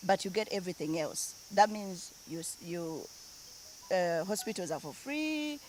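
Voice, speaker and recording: A woman's voice: lowish voice, animated, moderate pace, darkish tone, slight background noise. A woman from Uganda who grew up in Denmark, chatting easily as an interview guest and switching between languages. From Uganda, raised in Denmark. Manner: mixes English and Luganda, easygoing, laughs often. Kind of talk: casual interview chat.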